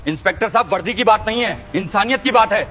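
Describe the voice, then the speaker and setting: A man playing a principled, polite soldier with an earnest, respectful manner in a scripted animated moral story. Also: sits high, fast, a little hiss or hum. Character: principled young male soldier, polite and steadfast.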